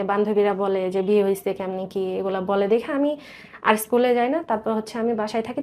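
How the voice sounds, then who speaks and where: A woman's voice: medium pitch, a little mellow. A woman with a Bangladeshi accent recounting her life in an interview, earnest and detailed, often circling back over points. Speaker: guest telling life story. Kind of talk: personal storytelling in a radio interview.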